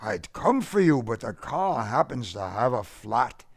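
A patient, practical middle-aged family man, played by a male narrator in an audiobook. His plain talk moves up and down in pitch, with a dry, close sound. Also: very animated, unhurried, slightly rough, dry recording, full character voice. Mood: placating. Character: mild middle-aged family man, patient, questioning older-style brother. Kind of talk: audiobook character dialogue.

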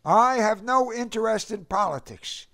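A man puts on an eager young immigrant, his voice lifted high above the narration and speaking broken English. The lines swing with lively, bouncing pitch and have a gravelly edge. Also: a little slow, heavily acted. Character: hot-dog-loving young male Russian immigrant.

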